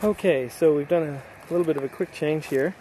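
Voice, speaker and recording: A man in a casual hands-on demonstration, talking in an unhurried, explanatory way as an amateur radio operator outdoors. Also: on the high side, dark tone. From United States. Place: outdoors on a mountainside. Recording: noisy outdoor camera recording. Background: outdoor ambient noise.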